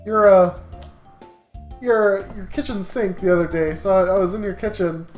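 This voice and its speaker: A man's voice: high-pitched, steady tone, moderate pace. A man co-hosting a game playthrough, talking casually and cracking jokes. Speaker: co-host of a comedic game playthrough. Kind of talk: casual joking banter. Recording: roomy echo, music behind.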